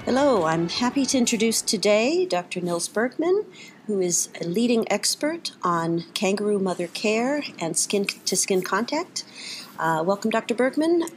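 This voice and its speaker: A woman's voice: mid-range voice, very animated, moderate pace. A woman interviewing a guest politely, with somewhat hesitant phrasing.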